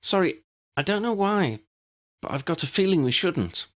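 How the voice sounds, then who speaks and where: Timid little girl, played by a man, tiny hesitant voice, raised pitch, audiobook character. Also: very animated, moderate pace, fairly bright tone. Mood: uneasy. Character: small timid young Muggleborn girl.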